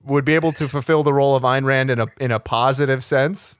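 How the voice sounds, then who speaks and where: A man's voice: medium pitch, steady tone, fast, clean tone, no echo, studio quality. A man hosting an improvised comedy conversation, politely interrupting with questions and nitpicks.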